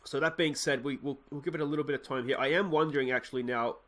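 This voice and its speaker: A man's voice: medium pitch, quick pace. An Australian man with a slightly nasal voice from a cold, casually talking through his gameplay, rambling and often correcting himself. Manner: rambling, self-correcting, excitable at goals. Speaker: let's play host.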